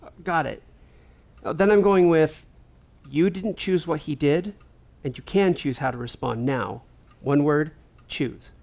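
A woman's voice: low voice, measured pace, creaky, long pauses, a little mellow, full character voice. A woman acting in a fiction podcast as a devoted, protective advocate who blames herself, earnest and wry in manner. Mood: subdued, guilty. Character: Feeny, devoted, self-blaming advocate with protective fire.

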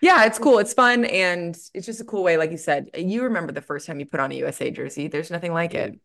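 A woman's voice: lowish voice, fast, vocal fry, a bit bright. A young woman co-hosting a podcast in casual chat, with a laid-back, informal, slangy way of talking. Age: young adult. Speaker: podcast co-host, softball player.

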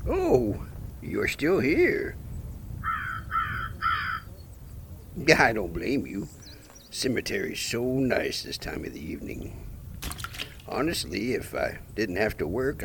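Audio drama gravedigger played by a man: low gravelly male, folksy and rambling, swinging pitch, outdoor noise behind. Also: wildly swooping pitch, unhurried, a bit bright, full character voice. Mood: friendly, musing. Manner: chatty, rambling, musing. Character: talkative folksy small-town male gravedigger narrator, wry, unhurried. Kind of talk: audio play narration addressed to the listener.

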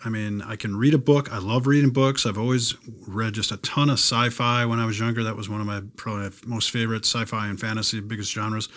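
Man, middle-aged, average pitch, informal, rambling, reflective casual chat.

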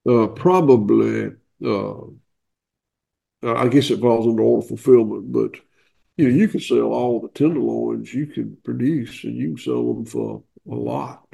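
An older Southern man speaks in a gravelly, husky voice, stuffed up from a cold. He talks in a plainspoken, folksy way over a video call, with a dark, mellow tone. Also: medium pitch, lively intonation. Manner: folksy, plainspoken, halting with fillers. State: head cold, stuffy nose. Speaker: guest farmer. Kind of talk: casual interview.

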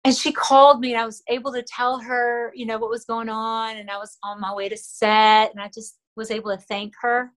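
A middle-aged woman from the Philippines whose first language is Tagalog, talking earnestly as an interview guest with fillers and soft laughs. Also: on the high side, level tone, a little fast, hardly any pauses. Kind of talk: casual interview talk.

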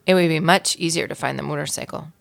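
A woman reads a children's story expressively as a guest narrator. Her voice is on the low side, with plenty of vocal fry. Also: fast talker, a bit bright.

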